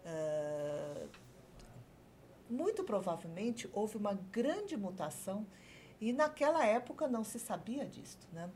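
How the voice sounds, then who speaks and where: A woman doctor, a guest on a TV health talk show, explaining calmly and pausing to search for her words. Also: medium pitch, a little hiss or hum. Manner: measured, searching for words, many fillers.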